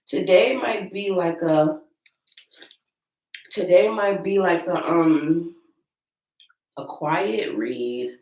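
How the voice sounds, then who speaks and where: An American woman with a deep voice roughened by a cold, talking informally to viewers as host of a Bible-reading vlog. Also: drawn-out words, long pauses, a little mellow. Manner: informal, chatty, addressing viewers as y'all. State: getting over sickness. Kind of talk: casual chat and reading scripture aloud. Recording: roomy echo, distant microphone.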